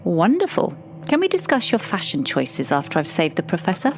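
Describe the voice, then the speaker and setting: A woman's voice: on the low side, huge pitch swings, brisk, slight background noise. A woman playing a sardonic, unimpressed female Devil in an audio drama, delivering dry, sarcastic retorts. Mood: exasperated.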